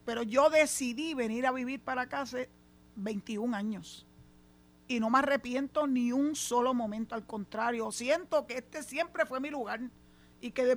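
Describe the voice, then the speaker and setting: A middle-aged woman with a Puerto Rican accent hosts a radio talk program from the studio. She talks in an outspoken, emphatic way, with a slightly high voice. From Puerto Rico. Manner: outspoken, emphatic, laughs easily. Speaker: radio host. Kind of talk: casual on-air radio chat.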